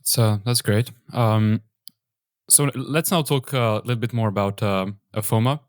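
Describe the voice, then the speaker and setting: A man's voice: on the low side, normal speed, no room sound, studio quality. A man hosting an interview, asking questions with a few fillers. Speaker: interviewer, host.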